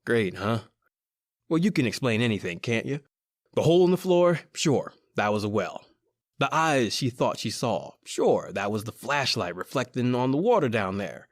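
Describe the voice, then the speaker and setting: A man's voice: medium pitch, sing-song, moderate pace, no room sound, studio quality. An actor playing a tough, impatient crook who tells his horror tale in slangy, colloquial old-time radio style. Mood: wry, rationalizing. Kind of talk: old-time radio horror acting with first-person narration.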